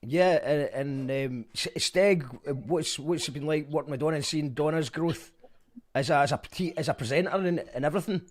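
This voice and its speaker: A man's voice: fairly high pitch, fairly quick. Chatty male livestream host. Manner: chatty, reads viewer comments aloud. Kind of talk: livestream fundraiser hosting.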